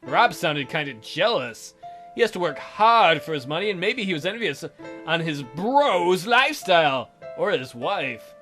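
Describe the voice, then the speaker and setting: A man plays through a game, reading aloud and commenting casually. His voice is fairly high and very lively in pitch, with a sarcastic, joking way of talking. Faint music plays behind him. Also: a little slow. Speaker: let's player.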